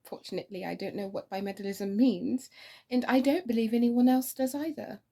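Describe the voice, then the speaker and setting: A woman's voice: on the high side, fairly quick, warm tone, voice pushed up. A woman playing a flighty, witty young society lady in a stage comedy, breezy and mock-indignant. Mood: mock exasperated. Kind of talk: theatrical comedy of manners.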